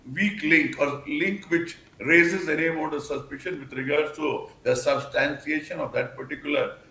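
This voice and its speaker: An older man with an Indian English accent giving formal, measured, wordy commentary as a news panel expert. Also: a little high, fairly even intonation, pushed and bright. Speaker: expert guest, former senior police officer. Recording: echoey room, faint background noise.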